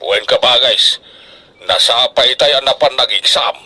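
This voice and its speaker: A man's voice: mid-range voice, unhurried, sharp tone, poor audio. A man playing a devoted, proud middle-aged farmer father in a radio drama, theatrical and emphatic in delivery. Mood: proud, boastful.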